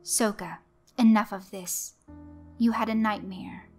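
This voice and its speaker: A woman's voice: medium pitch, fairly even intonation, measured pace, faint music behind. A woman voicing a strict middle-aged mother who scolds her daughter sharply and raises her voice. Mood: stern.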